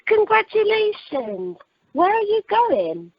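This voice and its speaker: A woman's voice: extremely high pitch, wildly swooping pitch, takes it slowly, no room sound, exaggerated voice. A woman playing a chirpy, dim, literal-minded airline check-in assistant in amateur radio comedy. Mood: helpful. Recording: muffled, dry telephone conference line.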